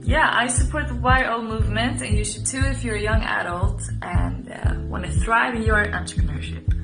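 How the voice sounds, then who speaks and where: A woman's voice: fairly high pitch, normal speed, roomy sound, rough recording. A woman from Sweden giving a friendly, upbeat greeting and endorsement. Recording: loud music behind.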